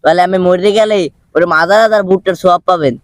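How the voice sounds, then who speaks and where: A man puts on a phony preacher from a comedy dub, mock-pious and admonishing. His high voice moves quickly and sits dry and close. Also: no echo, natural voice. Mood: sanctimonious. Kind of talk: comic prank-call dubbing sketch.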